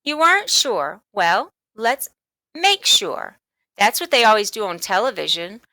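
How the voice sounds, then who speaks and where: A woman voicing an excited, insistent little schoolgirl in a storybook, eager to show off. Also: mid-range voice, measured pace, vocal fry, dry recording, voice pushed up, exaggerated voice.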